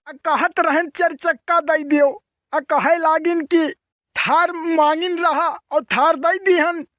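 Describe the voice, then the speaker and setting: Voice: very high-pitched, a bit bright, no room sound, studio quality, heavily acted. A performer voicing a teasing, grumbling cartoon village uncle with a rustic eastern Uttar Pradesh accent and exclamatory, colloquial delivery. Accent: eastern Uttar Pradesh (Awadhi). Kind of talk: rustic comedy cartoon dialogue.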